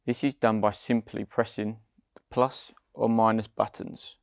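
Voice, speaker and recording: A British man, around his forties, giving scripted step-by-step product instructions in a measured, deliberate way. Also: on the low side, flat delivery, vocal fry, no echo, studio quality. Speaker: product demonstrator.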